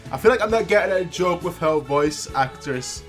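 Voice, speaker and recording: Casual male reaction host, lively pitch, short amused interjections, faint music behind. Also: normal speed.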